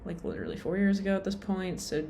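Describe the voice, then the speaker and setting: Tired young woman vlogger, low pitch, chatty and meandering, self-deprecating. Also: big pitch swings, fast, creaky, warm tone, slight background noise. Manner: rambling, lots of "like" and "um", self-deprecating. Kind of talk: casual rambling vlog.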